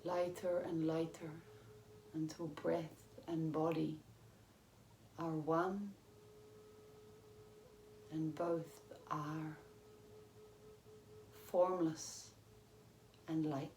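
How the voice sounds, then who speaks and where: Slow, low-voiced woman guiding meditation. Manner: calm, measured, soothing, with long pauses. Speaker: yoga teacher. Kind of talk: guided meditation, yoga nidra instruction. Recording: roomy echo with faint background noise.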